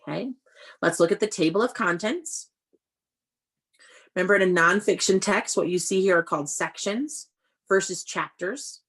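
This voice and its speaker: A woman speaks as an elementary school teacher leading a read-aloud for young children. Her delivery is animated and explanatory, at a middle pitch for a woman. She addresses the children directly and checks in with questions. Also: moderate pace, pauses often, husky.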